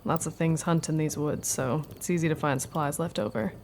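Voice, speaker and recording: Wry loner witch lady in the woods, audio drama, gravelly lowish voice, casual and frank, bit of noise behind. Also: steady tone, brisk, a little mellow. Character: solitary, wry woodland witch woman, hospitable but wary. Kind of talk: naturalistic audio drama dialogue.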